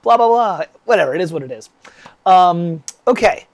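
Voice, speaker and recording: A man's voice: high voice, lively intonation, normal speed. A man with a stuffy summer cold, teaching music composition on a casual livestream, rambling and chatting with viewers by name.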